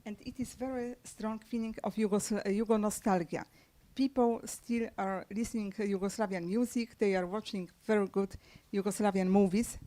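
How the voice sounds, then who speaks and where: A woman author whose first language is Serbian, answering questions at a book launch in halting speech with many fillers and restarts. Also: mid-range voice, fairly even intonation, mellow. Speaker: author guest. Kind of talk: conversational book-launch interview. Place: press club event room.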